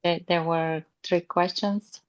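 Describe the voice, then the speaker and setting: A woman's voice: on the low side, steady tone. A woman from Bulgaria introducing herself as an artist on a video call, hesitant and full of fillers. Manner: hesitant, many fillers, slow. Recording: dull lo-fi video call audio.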